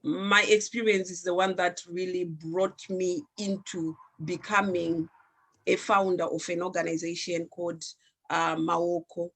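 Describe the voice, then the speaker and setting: A woman from Zimbabwe, raised speaking Shona, talks at a slow, unhurried pace on a discussion panel. Her speech is earnest and reflective, with frequent pauses and restarts as she gathers her thoughts. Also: lowish voice, flat delivery, a bit bright, low-quality recording. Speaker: panelist, founder of an arts organization.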